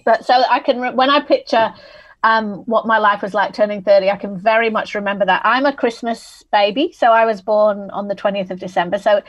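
A woman's voice: mid-range voice, fairly even intonation, brisk, lo-fi sound. A woman in her forties from England with a Manchester accent, talking candidly and reflectively as an interview guest. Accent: Mancunian English. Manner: candid, reflective, laughs easily. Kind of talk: conversational interview.

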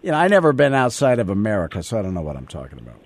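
A man's voice: lowish voice, wildly swooping pitch, very fast. A man hosting a podcast, with brash, teasing banter. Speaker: podcast host. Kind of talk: joking radio-style chat.

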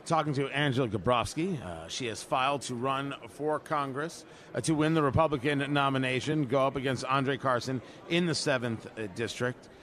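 A man hosting a radio interview at a political conference, talking in a brisk, conversational way. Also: mid-range voice, a bit bright. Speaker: radio host.

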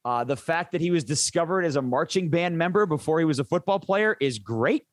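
A man's voice: a little high, lively intonation, fairly quick, no echo, studio quality. A man who works as a sports play-by-play announcer, chatting casually and enthusiastically in an interview, rambling into detail.

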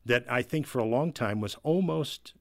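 Enthusiastic man with a mid-pitched voice. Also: lively intonation, normal speed, gravelly. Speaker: podcast panelist. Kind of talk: casual explanatory chat.

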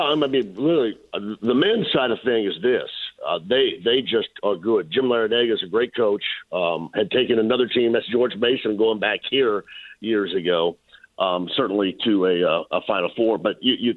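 A man calls in to break down a basketball tournament, his voice worn a little hoarse. He comes through a thin, phone-like line with no room sound, and he stresses his key points again and again. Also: fairly low pitch, normal speed, dry recording. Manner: emphatic, repeats key points. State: losing his voice, slightly hoarse. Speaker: sports radio analyst. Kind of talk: phone-in sports analysis.

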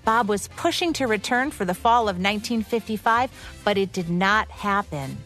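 Female co-host, mid pitch, reading scripted history segments with light music underneath. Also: lively intonation, moderate pace, a bit bright. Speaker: radio show co-host. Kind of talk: scripted narration.

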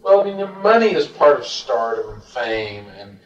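Male, American, casual interviewer who rephrases haltingly. Mid-range voice, distant roomy tape sound. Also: medium pitch, very animated, lo-fi sound. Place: hotel suite, away from the mic.

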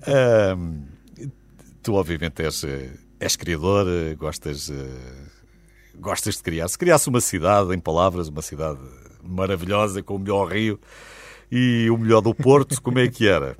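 Deep-voiced Portuguese host. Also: male voice, expressive, a little slow. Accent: European Portuguese. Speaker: radio host. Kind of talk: interview.

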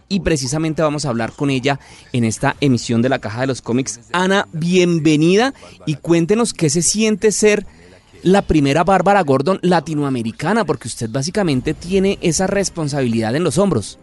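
A man's voice: fairly high pitch, normal speed, darkish tone. A man hosting and interviewing in a radio style, emphatic and formal with his guest. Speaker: podcast host and interviewer. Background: music.

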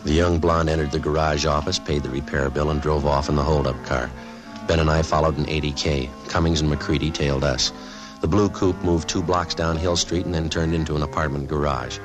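An actor playing a terse, businesslike police detective, narrating deadpan in the clipped style of 1950s radio drama. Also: male voice, rumbling low, fairly quick, music in the background. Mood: deadpan, factual. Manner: deadpan, matter-of-fact.

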